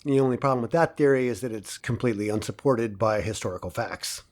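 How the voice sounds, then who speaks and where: An actor playing an earnest professor giving a measured, self-assured academic lecture in an audio drama. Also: male voice, mid-range voice, lightly acted. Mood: earnest, opinionated.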